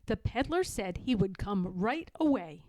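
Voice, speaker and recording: A woman reading a children's story aloud in a dramatic, deliberate way, stressing key words. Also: medium pitch, big pitch swings, a little mellow, no echo. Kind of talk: children's read-aloud narration.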